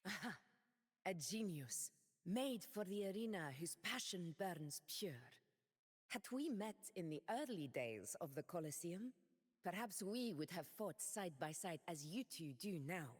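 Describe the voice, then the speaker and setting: A woman voicing a proud noble former gladiator in a game, speaking in a measured, dignified way. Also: lowish voice, animated, slightly rough, long reverb tail. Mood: reflective. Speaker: game character. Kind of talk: game character voice lines.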